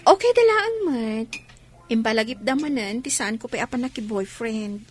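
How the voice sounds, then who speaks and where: High, expressive young Ilocano student played by a woman. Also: normal speed, soft background music, close to an everyday voice. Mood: dutiful. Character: young female student, dutiful daughter, anxious about secret romance. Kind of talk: radio drama acting.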